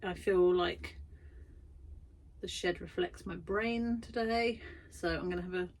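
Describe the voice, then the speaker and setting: A woman's voice: on the low side, measured pace, slight background noise. A woman vlogging from her garden shed studio, chatty and self-deprecating, tired after busy weeks. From United Kingdom. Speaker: vlogger and art workshop tutor. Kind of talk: casual chatty vlog.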